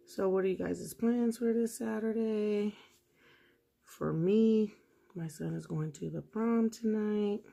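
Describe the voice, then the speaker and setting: Woman, hoarse, gravelly low voice, chatty nail tutorial at a home table. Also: mellow. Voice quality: husky, gravelly. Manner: chatty, talks straight to viewers while working. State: losing her voice. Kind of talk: casual nail tutorial narration.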